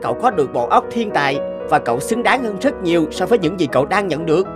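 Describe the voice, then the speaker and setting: A man's voice: high-pitched, lively intonation, fast, over music. An actor playing a principled young man in a cartoon, a concerned friend who speaks earnestly and frankly. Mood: concerned, advising. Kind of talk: cartoon dubbing.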